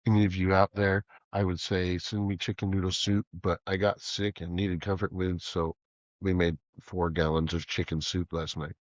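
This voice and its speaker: A man's voice: sits low, level tone, normal speed, no echo, dull audio. A man with a cold, stuffy-nosed, hosting a casual podcast and rambling with jokes. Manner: rambling, joking, chuckling. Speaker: podcast host.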